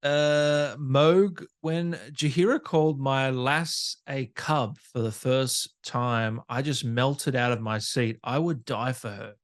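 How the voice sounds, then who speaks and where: A man with an average-pitched voice hosts a relaxed interview. He reads out fan questions and comments in an informal, conversational style. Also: slow pace, few pauses. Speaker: podcast host, interviewer.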